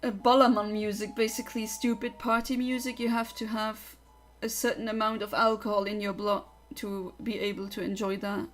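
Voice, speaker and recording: A woman's voice: medium pitch, level tone, moderate pace, few pauses, slight background noise. Chatty young woman. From Luxembourg. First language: Luxembourgish. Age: young adult. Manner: chatty, opinionated, rambling. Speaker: livestreamer. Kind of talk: casual livestream chat.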